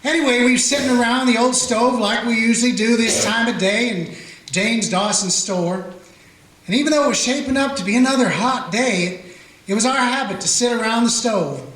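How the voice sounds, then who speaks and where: A man's voice: very high-pitched, normal speed, a bit bright. A man playing a folksy small-town frontier sheriff who narrates in a drawling, plainspoken way. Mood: reminiscing. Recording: roomy, echoey room.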